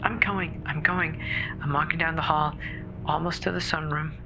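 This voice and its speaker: A performer voicing a terrified woman caller alone in a snowbound house, halting and stammering with fear in a horror audio drama. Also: medium pitch, steady tone, over music, natural voice, low-quality recording. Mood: anxious, hurried. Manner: halting, fearful, breathy stammering. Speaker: emergency caller.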